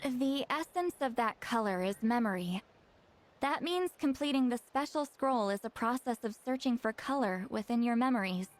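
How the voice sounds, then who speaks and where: A woman's voice: a little high, animated, normal speed, close to an everyday voice. A woman voicing an aloof, centuries-old sorceress who talks in a reserved, matter-of-fact way. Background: faint game music.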